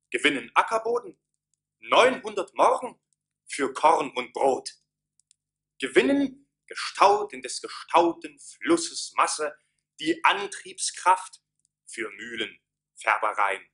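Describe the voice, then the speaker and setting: An actor playing a bold, fervent cooper advising a ruler in a radio play, declaiming with passion. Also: male voice, fairly high pitch, vocal fry, bright, forceful tone, full character voice. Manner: impassioned, declamatory.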